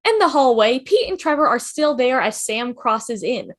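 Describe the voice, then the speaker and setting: A woman's voice: a little high, sing-song, moderate pace. A woman reading stage directions as scripted narration in a fan table read.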